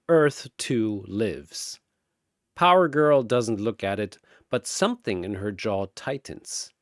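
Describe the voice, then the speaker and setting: A man's voice: on the high side, no room sound, studio quality. A man delivering dramatic scripted battle narration like an arena announcer, theatrical and grandiose.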